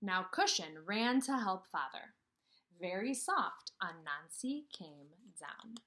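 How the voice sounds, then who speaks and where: A woman leads a read-aloud lesson for small children. Her pitch moves around in a lively way at an ordinary female height. She uses simple, repeated phrases and questions that leave room for answers. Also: takes it slowly. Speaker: kindergarten teacher.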